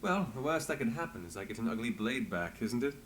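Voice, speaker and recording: Commanding investigator, expressive delivery. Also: male voice, mid-range voice, fast, slight background noise. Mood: brisk, commanding. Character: confident, dry-witted male investigator in a fantasy city. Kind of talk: dramatic video game dialogue.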